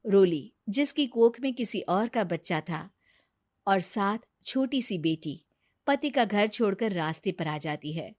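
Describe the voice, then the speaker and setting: A woman's voice: medium pitch, expressive, a little slow, a little mellow. A woman reading a scripted moral story as narrator.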